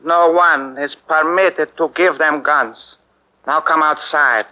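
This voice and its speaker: An actor playing a brisk, commanding male army officer in a 1940s radio drama, giving curt instructions. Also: on the high side, fairly even intonation, noisy background. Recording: dull old radio broadcast.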